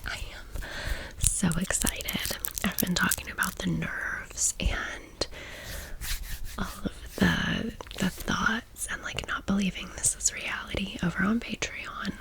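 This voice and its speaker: An American woman in her thirties whispers in a chatty ASMR style. Her delivery is very slow and unhurried, with plenty of crackly vocal fry. She phrases things playfully and slangily, like casual storytelling for a close listener. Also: medium pitch, fairly even intonation, pushed and bright. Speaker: ASMR video host.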